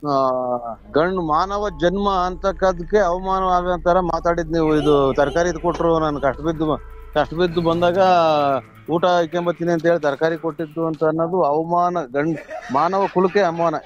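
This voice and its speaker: A middle-aged man with a fairly high voice. He rambles emphatically and blusters through an informal phone chat. The line is dull and lo-fi, with faint music behind. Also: steady tone, normal speed. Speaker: prank call recipient.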